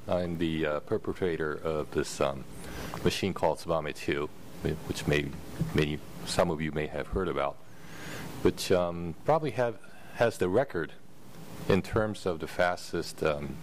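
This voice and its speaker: A man with an American accent, a professor speaking on a conference panel in a halting way, with many fillers and self-corrections and a dry humor. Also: low voice, slight background noise. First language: Japanese. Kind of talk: panel discussion introduction. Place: conference hall with audience. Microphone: handheld or table mic.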